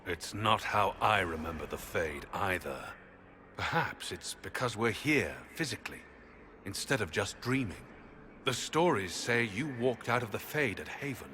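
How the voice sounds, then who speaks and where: A wry, watchful male adventurer in a fantasy game. His dry delivery comes in a low, forceful voice, with slight ambient noise behind. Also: expressive, unhurried. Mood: wary. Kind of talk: dramatic fantasy game acting.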